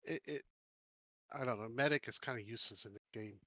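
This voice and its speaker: A man's voice: mid-range voice, a little fast, bright, forceful tone, no room sound, muffled recording. A man chatting casually as a player in a tabletop game group.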